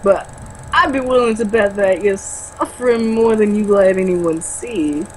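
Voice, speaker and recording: Girl doing a dry intense vampire guy from a novel, really slow and halting, some background noise. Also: medium pitch, lightly acted, rough recording. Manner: slow, halting, drawn-out delivery. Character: intense, dry teen vampire boy in slow celebrity impression. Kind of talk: comic dramatic book reading.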